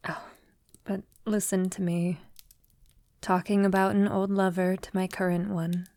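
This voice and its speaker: A woman playing an affectionate centuries-old vampire lover in an intimate ASMR roleplay, speaking softly and formally, often slipping toward a breathy whisper. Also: mid-range voice, level tone, no room sound, natural voice. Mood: reflective, wistful. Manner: soft, tender, unhurried, often breathy near-whisper; formal, elegant wording.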